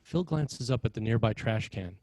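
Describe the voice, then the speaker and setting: Low-voiced man narrating a screenplay. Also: animated, normal speed, darkish tone, dry recording. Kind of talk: scripted narration, reading stage directions.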